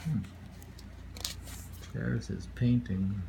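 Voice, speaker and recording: A man's voice: fairly low pitch, very slow, creaky, background noise. A man reading short poems aloud and musing on them casually, often repeating phrases. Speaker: poetry reader.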